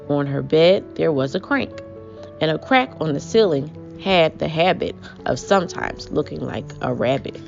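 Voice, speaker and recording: A woman reading aloud to young children as host, with an expressive, measured storybook delivery. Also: on the low side, creaky. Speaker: children's book reader, host. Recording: muffled audio with prominent music.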